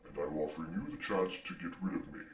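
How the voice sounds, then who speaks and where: A sly demon acted by a man speaks in a booming low bass. He is scheming and condescending, with slow, mock-polite phrasing, as in a comedy sketch. The sound is echoey and set back from the mic. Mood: tempting. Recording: echoey room, distant from the mic.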